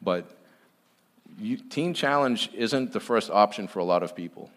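A man's voice: lowish voice, lively intonation, measured pace, fairly bright tone. A man speaking earnestly at a church, giving an informal testimony with emphatic repetition.

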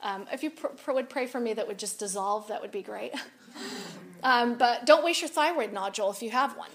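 Raspy gal giving a talk to women, kinda high voice, animated and chatty. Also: female voice, brisk, slight background noise. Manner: animated, confiding, rises and drops a lot. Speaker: speaker at a women's event. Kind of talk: personal storytelling talk.

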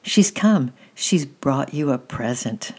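A woman's voice: very deep voice, lively intonation, slow pace, darkish tone, husky, heavily acted. A woman reading aloud, voicing an eager young girl in a children's storybook with a raised, urgent delivery. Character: excited young girl comforting her brother.

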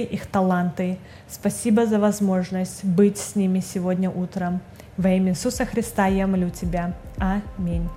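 A woman's voice: medium pitch, warm tone. Earnest woman, native Russian speaker. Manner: earnest, heartfelt. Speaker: guest speaker, ministry staff. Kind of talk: church testimony talk. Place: church stage before a congregation.

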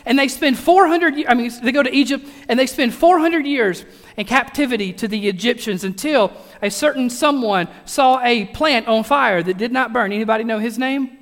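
A man's voice: extremely high pitch, moderate pace, fairly bright tone. A man preaching a casual, joking sermon to a congregation, chatty and self-deprecating, with frequent restarts. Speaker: pastor. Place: church sanctuary. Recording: long hall reverb.

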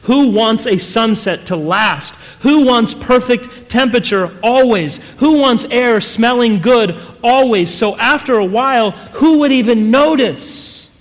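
A weary, disillusioned middle-aged wife from a story reading, acted out by a man. The voice is high and exclaiming, with folksy plain speech. It rings with long reverb in a hall. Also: lively intonation, a little slow. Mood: weary, reflective.

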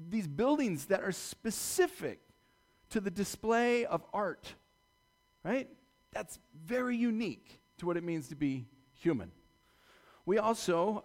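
A man preaching a conversational sermon in a slightly scratchy voice, informal and discursive, often checking in with his listeners. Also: on the high side. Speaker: church preacher.

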